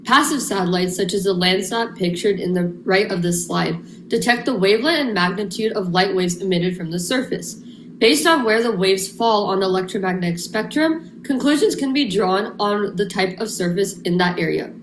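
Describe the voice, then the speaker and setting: A woman's voice: medium pitch, normal speed, slight background noise. Teen girl reading in an echoey room. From San Diego, California. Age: teens. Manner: reads evenly from a script. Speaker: student presenter. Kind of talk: scripted presentation.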